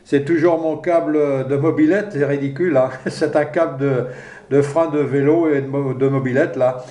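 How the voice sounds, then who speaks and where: A man's voice: a little high, steady tone, normal speed, mellow. A man chatting casually over two-way radio in an easygoing way, often ending phrases with small tag words. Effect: two-way radio transmission. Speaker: amateur radio operator. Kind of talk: casual radio contact chat. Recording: echoey two-way radio transmission.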